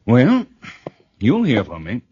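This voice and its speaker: An actor playing a businesslike, hard-boiled fixer, terse and matter-of-fact, in 1940s radio drama style. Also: male voice, mid-range voice, wildly swooping pitch, takes it slowly, dark tone, breathy edge, full character voice. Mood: cool, businesslike. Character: businesslike, hard-boiled male fixer taking on a delicate job. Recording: dull vintage radio recording.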